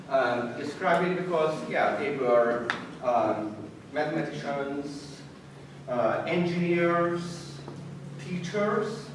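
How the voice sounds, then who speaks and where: Echoey, animated Hungarian-accented man. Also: a little high. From Budapest, Hungary. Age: 30s. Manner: halting, self-deprecating humor. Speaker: college science teacher giving a talk. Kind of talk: informal autobiographical talk to an audience. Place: echoey indoor room before an audience. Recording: echoey room, distant mic, noisy background.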